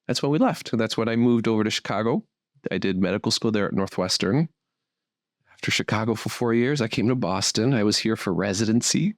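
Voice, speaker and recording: A man in his thirties with a low-pitched voice recorded dry and close. He tells his story as a podcast guest, upbeat and chatty, poking fun at himself. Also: dry recording. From born in Brazil, raised in Miami. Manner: chatty, upbeat storyteller with self-deprecating humor. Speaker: fertility doctor, guest. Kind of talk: relaxed podcast interview.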